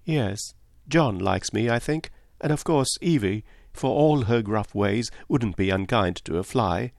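A man's voice: fairly low pitch, a little slow, creaky, a little mellow, no echo, studio quality. A male narrator voicing a kind, candid young English woman who talks in an earnest, confiding, slightly plaintive way. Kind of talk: audiobook character voice.

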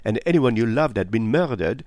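A man voicing a shrewd, calm and courteous detective in an audiobook, with a measured, probing manner. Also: medium pitch, dry recording, lightly acted. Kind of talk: audiobook character voice.

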